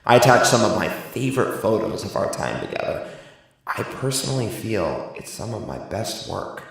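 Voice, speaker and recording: A man playing a sadistic stalker in a horror audio story, smug, falsely chummy and taunting, with a gravelly, echoing voice. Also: lowish voice, very animated, moderate pace, fairly bright tone, full character voice. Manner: taunting, smug, casually menacing. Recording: heavily reverberant, echoing voice.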